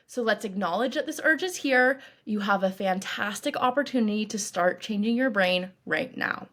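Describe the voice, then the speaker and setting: A woman coach leading a guided meditation in a calm, reassuring, instructive way, with deliberate phrasing. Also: a little high. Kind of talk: guided meditation, scripted narration.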